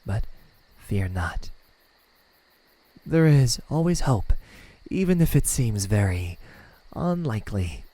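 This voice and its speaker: A man voicing a smug, menacing yet melancholic demon in an ASMR roleplay, speaking in a hushed, taunting way. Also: mid-range voice, sing-song, dry recording. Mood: soothing. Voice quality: breathy, slipping into whispers. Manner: slow, hushed, taunting delivery with pauses.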